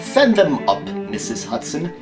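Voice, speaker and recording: A man acts out a theatrical gentleman detective for an audio drama. His voice is raised and swings widely in pitch, with genteel, precise phrasing and a drily amused, mocking air. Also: wildly swooping pitch, measured pace, music in the background. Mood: triumphant. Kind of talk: amateur audio drama acting.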